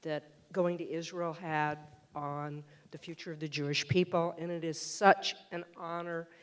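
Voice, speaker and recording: A woman's voice: deep voice, little pitch movement, unhurried, clear, resonant tone. A woman in the audience speaking effusively at a public Q&A in a synagogue hall. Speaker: audience member asking a question. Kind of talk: public Q&A remarks.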